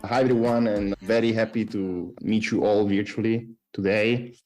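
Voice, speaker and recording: A man's voice: lowish voice, steady tone, moderate pace, darkish tone, soft background music. A man with an Italian accent informally introducing himself as a startup CEO. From Italy. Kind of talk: friendly informal self-introduction.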